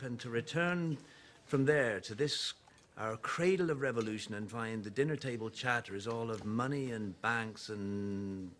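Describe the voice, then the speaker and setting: Period film casting: a man to play a genteel, doubting revolutionary statesman, low voice, careful formal delivery, slightly noisy soundtrack. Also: a bit bright, natural voice. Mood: earnest dismay. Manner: measured, formal, faintly hesitant and wry. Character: courtly, skeptical Virginian statesman of revolutionary-era America. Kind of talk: period film drama dialogue.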